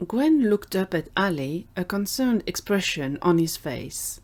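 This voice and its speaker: A woman's voice: fairly low pitch, very animated, measured pace. A woman reading scripted narration for an audio drama.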